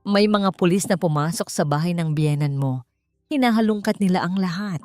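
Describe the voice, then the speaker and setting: A woman's voice: lowish voice, moderate pace, darkish tone, soft background music, lightly acted. A woman narrator playing a loyal elderly friend urgently giving a warning in an audio story. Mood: anxious. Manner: trembling, urgent.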